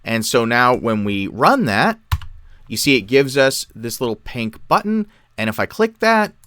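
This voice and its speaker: A man explains software step by step in a tutorial style. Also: medium pitch, sing-song, unhurried, slight background noise. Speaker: tutorial presenter. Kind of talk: software tutorial, explanatory screen-share narration.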